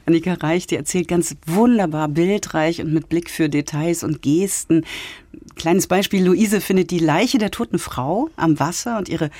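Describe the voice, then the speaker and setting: A woman's voice: on the low side, normal speed, a little mellow, no room sound. A woman giving a conversational book review on the radio, telling it expressively as a literary critic. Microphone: close studio microphone.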